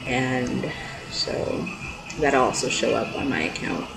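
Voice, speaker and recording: A man's voice: lively intonation, takes it slowly, vocal fry, music in the background. A young man chats casually and unscripted in a rambling, hesitant way, like a personal video blog. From California, United States. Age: young adult. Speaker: video blogger. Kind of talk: casual unscripted chat to camera. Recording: noisy low-quality amateur webcam-style audio.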